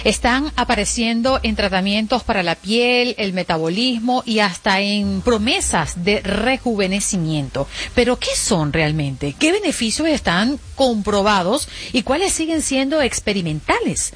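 A Venezuelan woman hosting a morning radio show, teasing her co-host in chat and reading headlines briskly from a studio mic. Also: medium pitch, lively intonation. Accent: Venezuelan, mixed with Caribbean Spanish. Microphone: studio microphone.

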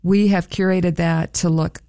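A woman who works at a museum, talking in a relaxed radio interview and promoting events and admission rates in an upbeat way. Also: fairly low pitch, measured pace, dry recording, clean studio sound. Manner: upbeat, promotional, careful over a foreign word. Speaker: museum staff guest.

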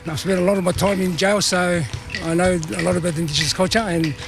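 Earnest fast-talking man from Tonga. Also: sits high, steady tone, gravelly, music in the background. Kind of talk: casual interview.

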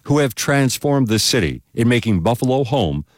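A man's voice: mid-range voice, very animated, moderate pace, dry recording, studio quality. A man working as a local radio news host and reporter, reading announcements and reports. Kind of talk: live traffic notes and scripted radio reporting.

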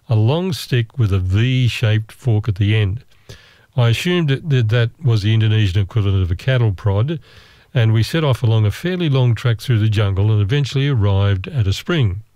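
A man telling a travel story in a wry, self-mocking, measured way. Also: lowish voice, warm tone, dry recording. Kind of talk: casual storytelling.

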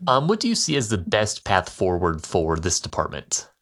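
A man's voice: low-pitched, sing-song, normal speed, a bit bright. A man working as a news interviewer, putting questions to a guest.

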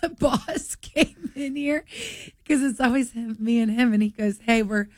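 A woman's voice: fairly high pitch, measured pace, no room sound. Woman, late thirties, radio co-host, laughing storyteller, dry studio. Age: late 30s. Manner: laughing, storytelling, quoting herself. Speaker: radio morning show co-host. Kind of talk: casual on-air banter.

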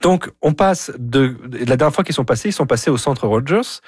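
A man's voice: mid-range voice, animated, normal speed, breathy edge, no echo, clean studio sound. A man with an accent from France giving casual radio commentary as a music columnist, chatty, digressive and teasing. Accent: French from France.

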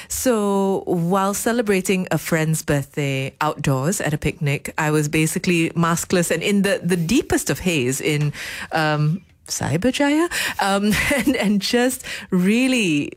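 A woman's voice: medium pitch, expressive, unhurried. A woman hosting a radio talk show, chatty and self-deprecating, often laughing.

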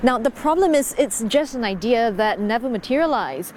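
A woman reporter delivering an on-location news piece in a clear, explanatory way. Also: on the high side, lively intonation, normal speed, darkish tone, slight background noise.

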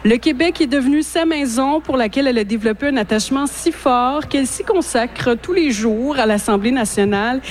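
A woman with a Quebec French accent hosting an interview, giving a clear introduction and asking attentive questions. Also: high voice, lively intonation, fairly quick, hardly any pauses, a little hiss or hum. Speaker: interviewer host. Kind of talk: radio interview. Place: book fair.

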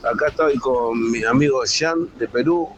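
A man's voice: medium pitch, unhurried, rough recording. Friendly Argentine man, faint background noise. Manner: friendly greeting. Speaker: listener leaving a voice message.

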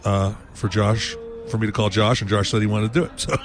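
A man with a fairly low voice, a blues musician from New England, answering interview questions in a relaxed, rambling way. He talks easily, piling on asides like someone used to telling stories. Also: a little fast, faint music behind.

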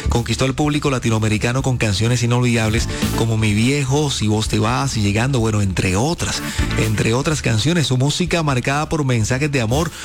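Upbeat radio host over music. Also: male voice, mid-range voice, normal speed. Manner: upbeat, lively, talks straight to listeners. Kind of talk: chatty radio countdown hosting. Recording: radio studio with music bed.

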